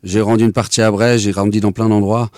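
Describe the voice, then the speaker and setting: A French man, a blues singer, answering interview questions in a hesitant, halting way with many fillers. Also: fairly low pitch, little pitch movement, darkish tone. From France. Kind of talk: casual interview.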